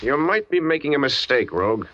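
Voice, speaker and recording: Menacing American cop, insinuating, animated intonation, vintage radio drama with muffled, slightly noisy sound. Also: male voice, on the high side, a little fast. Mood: coolly threatening. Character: menacing, shrewd male police lieutenant, middle-aged, quietly threatening. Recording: muffled, lightly noisy old radio recording.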